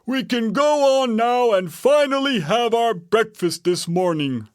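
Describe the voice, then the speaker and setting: A storyteller voicing a gruff, bossy, grumbling father bear in a children's storybook tale. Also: mid-range voice, expressive, a little slow, no room sound, studio quality, pitched above the performer's own voice, lightly acted. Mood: resigned.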